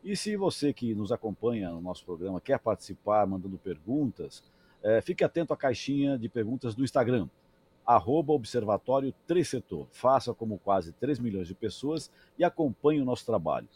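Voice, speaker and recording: A man's voice: mid-range voice, expressive, moderate pace, darkish tone, slightly rough. A man hosting a discussion program, thanking a guest and reading out the show's social media with some stumbling and repeated words. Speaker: program host.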